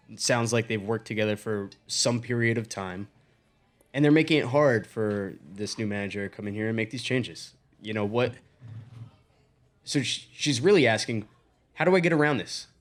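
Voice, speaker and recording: A man's voice: fairly low pitch, a little slow. A man hosting a casual podcast discussion, talking through a problem analytically and posing questions as he goes. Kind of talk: casual talk-show discussion.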